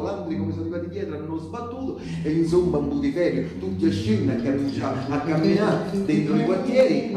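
A male actor playing an ordinary, excitable townsman who recounts a wartime battle in a stage monologue, with a central Italian accent and a colloquial, repetitive way of talking. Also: a little high, lightly acted. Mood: urgent, breathless telling. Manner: conversational, repetitive, with rhetorical tags. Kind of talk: live theatrical monologue in colloquial dialect-tinged speech. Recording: roomy live stage with music. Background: live guitar accompaniment.

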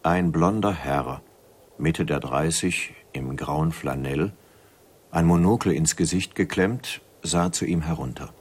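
A man's voice: extremely low pitch, unhurried, husky. A man reading scripted literary narration for a radio play.

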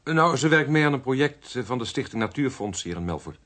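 A man's voice: mid-range voice, expressive, fairly quick, fairly bright tone, natural voice. A man playing a helpful, informative Dutch police detective in a radio drama. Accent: Dutch (Netherlands).

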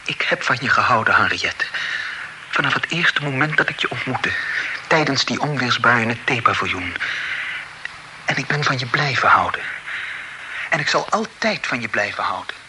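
A man's voice: mid-range voice, lively intonation, moderate pace, pauses often, background noise, lightly acted. An actor playing an earnest young man declaring his love, in a radio drama. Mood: tender. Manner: earnest, tender. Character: devoted young man proposing, ardent.

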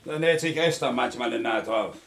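Actor as a gravelly, surly murder suspect. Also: male voice, mid-range voice, brisk, fairly bright tone, a little hiss or hum, lightly acted. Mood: surly, defensive. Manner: curt, defensive, dismissive. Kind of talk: vintage German crime radio play acting.

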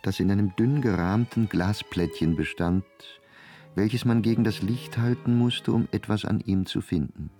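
A man's voice: lowish voice, normal speed, mellow, soft background music. A man reading scripted literary narration for a radio play.

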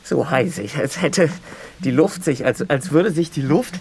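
A man acting in a naturalistic radio drama as a nervous, easily agitated aid worker who speaks haltingly and repeats himself. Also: a little high, lively intonation, a little mellow, a little hiss or hum.

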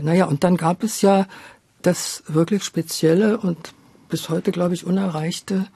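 An older woman, a former radio drama director, talking reflectively and a little haltingly in an interview, repeating words for emphasis. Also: on the low side, vocal fry, warm tone, breathy edge, no room sound.

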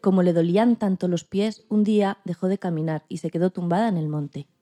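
A woman's voice: fairly low pitch, fairly even intonation, fairly quick, dark tone. A woman with an accent from Spain, reading scripted narration for a podcast. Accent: Spanish (Spain).

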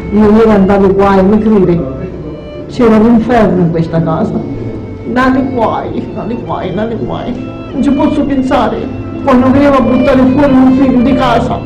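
An older woman with a Sicilian accent speaks in a high, plaintive voice, repeating phrases as she gives testimony. The sound is roomy, with clear violin music behind her. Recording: dull roomy recording under loud violin music.

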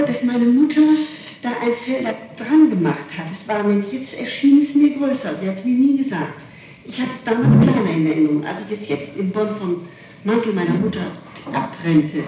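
Woman interviewee, testimony style, halting delivery with repetitions, noisy archival tape with a far microphone. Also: a little high, lively intonation, dark tone. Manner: halting, repeats words while explaining. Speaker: person being questioned by police. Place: reverberant room, taped police interrogation. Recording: echoey, noisy old tape, distant mic.

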